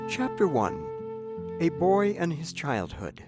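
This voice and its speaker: Animated Cambodian-accented man reading his inspirational memoir. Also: on the high side, slow, loud music behind the voice. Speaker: author reading own memoir. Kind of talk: scripted inspirational narration.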